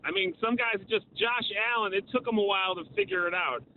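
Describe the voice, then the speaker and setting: A man phoning a sports radio show, offering a casual fan opinion in halting, restarted phrases over a phone line. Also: steady tone. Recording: phone line with faint rumbling noise.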